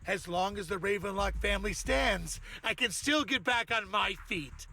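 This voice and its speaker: An actor playing a bitter, furious uncle who heads a crime family in a game, gravelly and shouting insults. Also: male voice, high-pitched, moderate pace, soft background music, heavily acted. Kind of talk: game cutscene acting.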